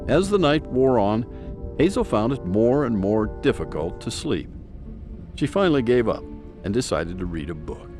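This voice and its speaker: Male narrator for a mystery audio drama: dramatic, animated pitch, medium register, music bed. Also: moderate pace. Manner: dramatic storytelling. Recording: prominent music behind narration.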